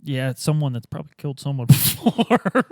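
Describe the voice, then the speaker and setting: Man, high voice, very varied intonation, casual roleplay player, dry close sound. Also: very animated, brisk, dry recording. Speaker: tabletop game player.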